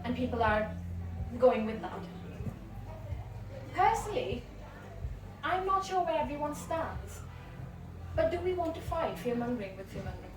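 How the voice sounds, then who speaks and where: Animated woman from India, higher voice. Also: normal speed, long pauses, noisy background. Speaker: panel speaker, therapist. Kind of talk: panel discussion. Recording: echoey, far from the mic, with music behind.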